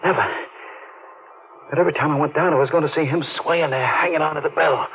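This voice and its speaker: An actor playing a brooding, guilt-haunted male diver in a 1940s radio mystery, with a tense, deliberate delivery. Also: on the high side, steady tone, close to an everyday voice. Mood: haunted, rising dread. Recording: noisy, narrow-band old radio recording.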